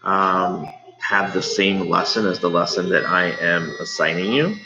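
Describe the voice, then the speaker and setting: Deep, gravelly American man in a roomy space. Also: measured pace, music in the background. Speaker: elementary school teacher. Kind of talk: informal read-aloud lesson for pupils. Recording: roomy, dull, faintly noisy home recording.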